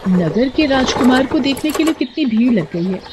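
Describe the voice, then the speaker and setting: A woman voicing a humble, grateful young girl in a fairy tale, earnest and gentle. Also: high-pitched, expressive, moderate pace, background noise, pitched above the performer's own voice. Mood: anxious.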